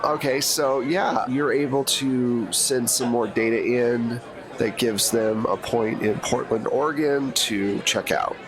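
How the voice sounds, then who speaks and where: A man's voice: mid-range voice, takes it slowly. Man running a tabletop game, crowd behind. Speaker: game master.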